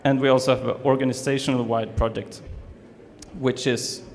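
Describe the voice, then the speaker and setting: A man's voice: mid-range voice, level tone, normal speed, vocal fry, a little hiss or hum. A young man from Sweden giving a student presentation, hesitant with many fillers and restarts. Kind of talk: presentation to an audience. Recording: reverberant hall, faint ambience.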